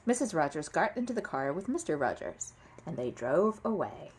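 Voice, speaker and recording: A woman reads a children's book aloud with a lively, swooping delivery. Her voice sits a little low and has a husky edge. She speaks expressively and turns to her listeners to point things out. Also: normal speed. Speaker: reader of children's books for an online series. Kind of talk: animated read-aloud storytelling with comments to viewers.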